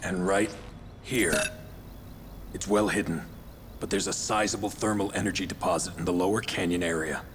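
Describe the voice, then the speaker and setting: A man plays a steady military strategist in a video game. His voice is very low and his delivery measured and explanatory, with faint noise behind it. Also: natural voice. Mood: calm, explaining. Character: steady, level-headed male strategist on a military team. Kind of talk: video game cutscene acting.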